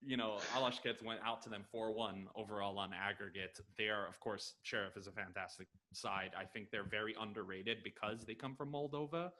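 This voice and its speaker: An American man from Los Angeles joins a podcast by headset from his home. His voice sits a little low, and he talks with eager, quick enthusiasm, sprinkling in fillers. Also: steady tone, bright, forceful tone, rough recording. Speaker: podcast guest. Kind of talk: casual interview answers. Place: home room, video call.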